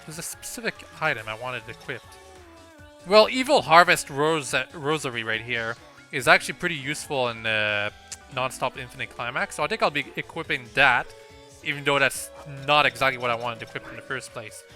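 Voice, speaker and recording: A man's voice: mid-range voice, lively intonation, fairly quick, pushed and bright. A man hosting a casual video game playthrough, thinking aloud in a hesitant, rambling way. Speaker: gaming video host. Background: video game music.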